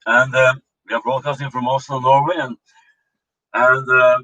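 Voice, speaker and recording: A man from Punjab with a Punjabi Indian accent, hosting a political commentary show and speaking haltingly with many fillers and restarts. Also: medium pitch, little pitch movement, pushed and bright, muffled recording. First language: Norwegian. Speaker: host.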